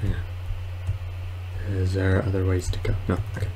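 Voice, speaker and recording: Casting: man, twenties, lowish voice, casual hesitant gamer commentary, noisy home recording. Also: completely flat delivery, moderate pace. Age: 20s. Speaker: gamer, video host. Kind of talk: casual let's play commentary. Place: small room at home, indoors.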